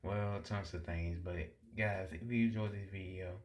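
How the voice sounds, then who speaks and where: A man's voice: deep voice, fairly even intonation, normal speed. A man speaking casually as a video creator signing off to viewers.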